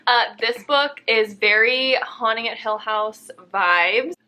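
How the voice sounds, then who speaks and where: A woman's voice: a little high, slow pace, sharp tone. A young woman hosting a casual book vlog with her sister, chatting in a bubbly, enthusiastic way. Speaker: book vlogger and host.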